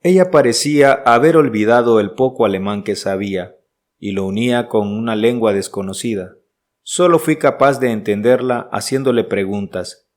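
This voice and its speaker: An actor playing an earnest, uneasy traveller who narrates his diary in measured, literary style for a radio drama. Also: medium pitch, natural voice.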